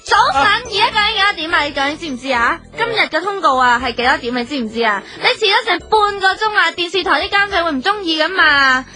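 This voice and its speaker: A woman's voice: very high voice, lively intonation, bright, forceful tone, full character voice. A woman playing a bossy, scolding young woman in a radio comedy drama, with a Hong Kong Cantonese accent and an emphatic, nagging delivery. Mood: indignant, bossy. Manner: emphatic, nagging, drawn-out sentence particles. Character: bossy, scolding young woman working as a strict driver-assistant.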